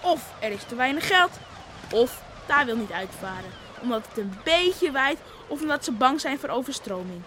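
An eager child of about eleven from a fishing island. The voice is high, insistent and ready to argue. It comes through a noisy old radio play recording. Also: female voice, very animated, normal speed, fairly bright tone. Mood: grumbling. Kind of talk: Dutch radio play acting.

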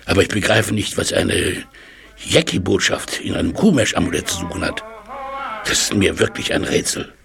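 An actor playing a courteous older scholar in an audio drama, husky and gravelly, speaking in a formal, musing way. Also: male voice, low voice, sing-song, moderate pace, crowd noise, full character voice. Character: courteous older male scholar translating a cryptic message. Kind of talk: German audio drama acting.

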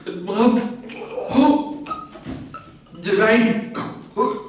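A man's voice: high-pitched, lively intonation, drawn-out words, full character voice. An actor playing a greedy, domineering criminal dreamer in a radio play, drunkenly stammering and repeating his words. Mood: dazed, alarmed. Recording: very echoey, distant, noisy radio play recording.

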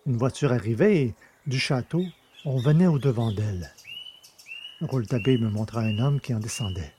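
A man reading scripted literary narration as an audiobook narrator, with a mellow, slightly husky voice. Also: mid-range voice, moderate pace, noisy background.

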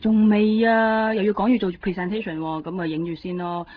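A woman's voice: medium pitch, level tone, fairly quick, a little hiss or hum, natural voice. An actress as a chatty office woman, muffled broadcast. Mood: businesslike. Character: chatty, easygoing young office woman, a customer. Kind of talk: Cantonese radio drama, casual dialogue. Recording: muffled 1990s radio broadcast. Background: faint music.

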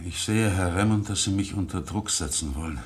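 A man playing an agitated, haunted secretary in an old German radio crime play, speaking in a halting, evasive way. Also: low-pitched, close to an everyday voice. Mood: uneasy, confessing. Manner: halting, evasive, breaking off and repeating himself. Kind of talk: German radio crime play acting from the mid-20th century.

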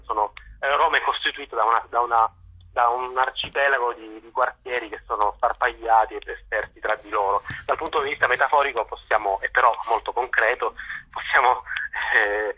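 A man speaks as an interview guest in a husky, very bright and edgy voice. He reasons aloud, hesitating often and restarting words as he looks for the right one. The sound is thin and harsh, like a phone call on the radio. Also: medium pitch. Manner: halting, searching for words with long hesitations and repeated words. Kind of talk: spontaneous explanatory radio interview.

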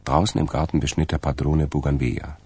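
A man with a gravelly, creaky voice, narrating a radio crime drama from a script. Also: rumbling low, level tone, a little fast, a little mellow, full character voice.

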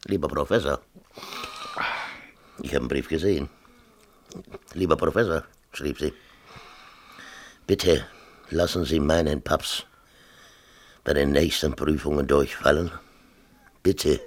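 An actor playing a defensive, self-pitying middle-aged small-town mayor and father in a radio play, talking in a halting, colloquial way and repeating himself. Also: extremely low pitch. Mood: subdued, pained. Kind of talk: 1970s German radio play acting.

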